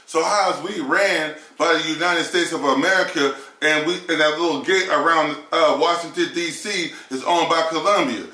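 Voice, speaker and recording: A man's voice: fairly high pitch, normal speed, hardly any pauses, sharp tone. Fired-up man in an echoey room. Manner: emphatic, argumentative, rhetorical questions, profane. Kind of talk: heated casual discussion. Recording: roomy echo.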